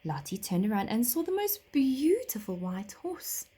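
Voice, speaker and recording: A woman narrating a children's story expressively, like a teacher reading aloud, with dramatic, deliberate phrasing. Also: fairly high pitch, sing-song, mellow, husky.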